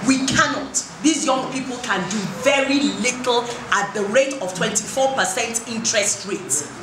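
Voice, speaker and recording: A woman's voice: on the high side, moderate pace. A Nigerian woman in the audience asking an earnest, insistent question at a public forum. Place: large hall at a public forum. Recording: echoey hall with background noise.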